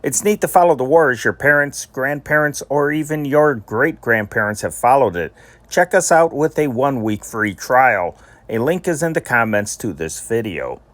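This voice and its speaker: A man's voice: mid-range voice, expressive, normal speed, fairly bright tone, dry recording. A man reading a scripted promotional narration for an online channel.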